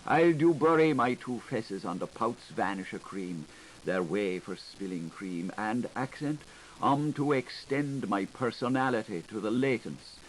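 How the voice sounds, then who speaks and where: A man's voice: lowish voice, expressive, a little slow. A man voicing a coquettish young girl, noisy tape. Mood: sweet, confiding. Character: coquettish, gushing young Irish girl writing love letters. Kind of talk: audiobook character reading of literary fiction. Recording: hissy 1980s audiobook recording.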